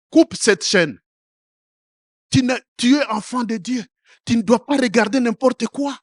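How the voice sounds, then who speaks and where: Slow, high-voiced French-speaking pastor preaching. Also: male voice, no room sound, clean studio sound. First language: another African language. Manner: repeats words and restarts, swells to loud exhortations then drops quiet. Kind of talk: sermon.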